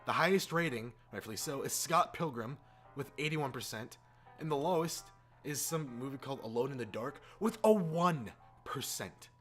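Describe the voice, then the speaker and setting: A man speaks as a video host in a casual, exasperated rant. His mid-pitched voice jumps around with lively swings, and he hammers points by repeating words in loose, informal language. Music plays faintly underneath. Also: moderate pace. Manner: exasperated, emphatic repetition of words. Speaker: YouTube host. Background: background music.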